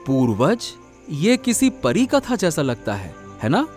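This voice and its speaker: A man's voice: on the high side, measured pace, soft background music. A man voicing a humble, kind-hearted village vegetable seller in a cartoon story, speaking earnestly. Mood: curious, surprised. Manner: earnest, expressive. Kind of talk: scripted cartoon story acting.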